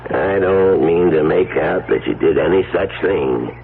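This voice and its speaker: An actor playing a gruff, suspicious rural lawman in a radio drama, with folksy, laconic talk. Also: low voice, animated, measured pace, exaggerated voice. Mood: wary, pondering. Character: gruff rural lawman, suspicious, stubborn, slow-reasoning man. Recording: tinny band-limited radio, faint hiss.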